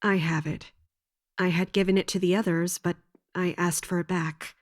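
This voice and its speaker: A woman playing a loyal mercenary captain in a fantasy audio drama, speaking formally and with measured, respectful defiance. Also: lowish voice, steady tone, lightly acted. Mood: guarded, formal. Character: loyal, resolute female mercenary captain serving royalty.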